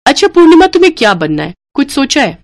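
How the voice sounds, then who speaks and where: A woman's voice: high voice, very animated, fast, dry recording, clean studio sound, pitched above the performer's own voice. A woman voicing a kind, protective young bride in a cartoon story, speaking in an earnest, caring manner. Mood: concerned.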